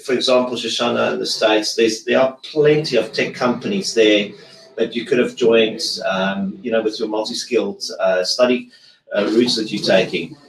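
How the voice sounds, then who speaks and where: A man in his thirties hosting a relaxed group conversation in an office meeting room, stopping and restarting often. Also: medium pitch, reverberant, slight background noise, poor audio. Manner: relaxed, halting, many restarts. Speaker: host.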